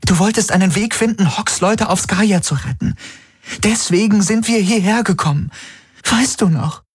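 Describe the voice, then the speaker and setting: Ein Mann spielt eine flehende junge Frau. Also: male voice, high-pitched, normal speed, breathy edge, voice pushed up. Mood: pleading. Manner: urgent, pleading, some whispering. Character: desperate loving young woman pleading.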